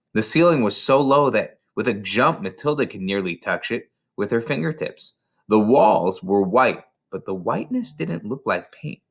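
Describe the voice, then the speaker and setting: A man reads a children's novel aloud as an expressive storyteller. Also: on the low side. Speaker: narrator, reader of a children's novel.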